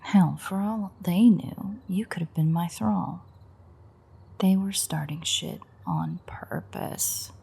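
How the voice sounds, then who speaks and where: A woman playing a teasing, sardonic vampire in an intimate audio roleplay, with a wry, foul-mouthed manner and a breathy, husky voice. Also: fairly low pitch, slow, mellow, heavily acted. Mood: wry, irritated.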